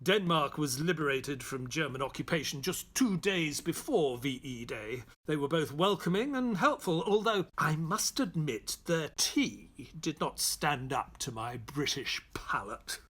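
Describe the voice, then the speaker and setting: An actor playing an earnest, polite English doctor and loyal sidekick in an audio drama, who narrates and gets easily flustered. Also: male voice, a little high, expressive, a little slow, hardly any pauses, a bit bright, breathy edge, exaggerated voice. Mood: measured storytelling.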